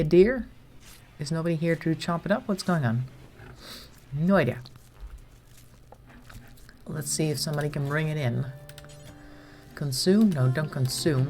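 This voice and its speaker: A woman streamer, slightly congested from a cold, chatting casually to herself and her viewers as she plays a game. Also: deep voice. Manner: chatty, talks to herself while managing the colony.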